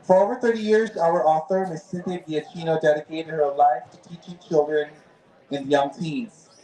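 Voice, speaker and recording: A man's voice: fairly high pitch, steady tone, normal speed, warm tone, reverberant, poor audio. A man reading a formal introduction of an author at a book fair booth. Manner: formal announcer delivery. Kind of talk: read introduction.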